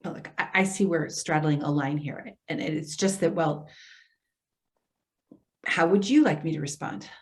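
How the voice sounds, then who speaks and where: A woman's voice: deep voice, a little slow, long pauses. A woman playing an earnest, apologetic social worker in readers theater, speaking with careful, placating hesitation. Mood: concerned, placating.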